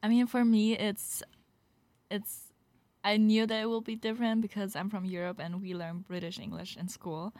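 A teenage girl from Germany talks as an exchange student guest. She is chatty and fills her speech with little pauses and words. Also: mid-range voice, flat delivery, darkish tone, no echo. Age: teens. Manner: chatty with many fillers and laughs.

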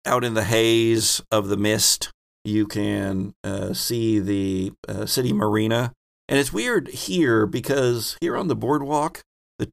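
A man narrating a tabletop game as game master, describing scenes in a deliberate, halting way. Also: fairly low pitch, gravelly.

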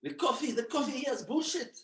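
An actor playing an evasive, grumpy French governor in an improvised comedy sketch, halting, blunt and dismissive. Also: male voice, extremely high pitch, a bit bright, reverberant. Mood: indignant.

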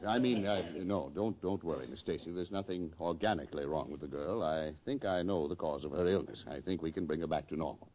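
An actor playing a polite but exasperated doctor, formal and a bit flustered, in a 1940s radio comedy. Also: male voice, deep voice, brisk, few pauses, lightly acted. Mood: reassuring. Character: exasperated, polite male doctor with dry patience. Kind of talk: 1940s radio sitcom acting. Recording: dull vintage 1940s radio.

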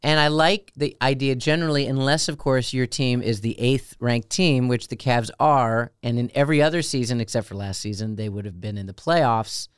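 Clear-voiced tenor man chatting casually. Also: normal speed, hardly any pauses, no room sound, clean studio sound. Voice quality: high tenor voice. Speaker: radio show co-host. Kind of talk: casual chat.

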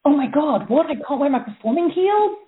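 Woman, opera singer, chatty and laughing, fairly high voice, tinny phone-line sound. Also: fairly quick. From England and Austria. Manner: chatty, laughing, animated storyteller. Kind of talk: casual chat.

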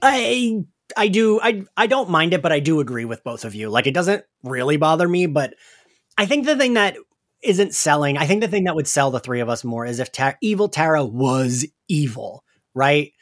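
A man's voice: a little high, expressive, normal speed. A man co-hosting a podcast, recapping and discussing a story in an informal, joking way. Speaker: podcast co-host.

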